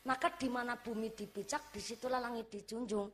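Lively ngapak-accented woman preacher. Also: on the high side, brisk. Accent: Banyumasan (ngapak) Javanese. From Cilacap, Central Java. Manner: animated, joking, switches between Javanese and Indonesian, laughs often. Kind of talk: religious lecture to a crowd.

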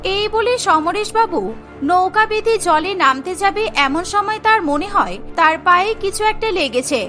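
A woman's voice: very high-pitched, expressive, unhurried, pushed and bright, faint music behind. A woman reading scripted narration for a cartoon story.

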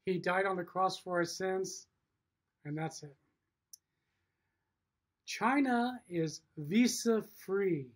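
Middle aged guy w a pretty high voice pitching his business to camera, punchy short sentences. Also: slow pace, pauses often. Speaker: factory owner and show host. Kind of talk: promotional video message to camera. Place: indoor room with wood-block walls.

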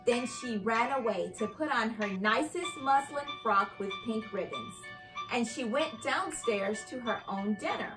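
A young adult woman reading a children's story aloud with expressive, carefully enunciated storytelling delivery. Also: on the high side, measured pace, music in the background, low-quality recording. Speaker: host and reader of children's read-aloud show. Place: indoor living room by a fireplace.

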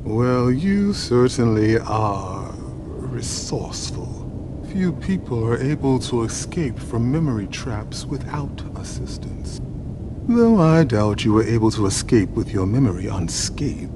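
A courteous but menacing vampire leader acted by a man in a video game drama. He speaks very slowly, with formal, old-fashioned politeness and guarded calm, over a clear background rumble. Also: lightly acted. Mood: coolly menacing.

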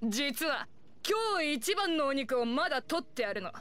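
Proud grown woman chef for anime game: high-pitched, feisty, self-assured, light music behind. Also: very animated, fairly bright tone, full character voice. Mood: sceptical. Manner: brisk, self-assured, challenging. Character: proud, feisty adult female village meat chef. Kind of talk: anime-style game acting.

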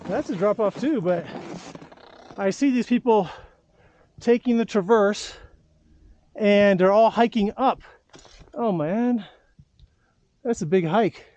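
High-pitched man vlogging outdoors. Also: slow, long pauses, darkish tone, no echo. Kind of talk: casual outdoor skiing vlog. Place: outdoors on a snowy mountain slope.

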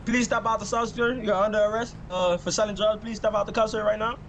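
A man's voice: high-pitched, little pitch movement, fast talker, noisy background. A man bantering in slangy online game role-play.

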